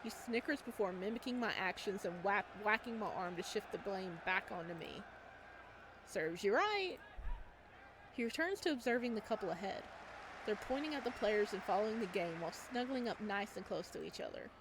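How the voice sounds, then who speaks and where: A woman's voice: medium pitch, expressive, normal speed, background noise. A woman reading a visual novel aloud as a let's play host, with casual, amused asides.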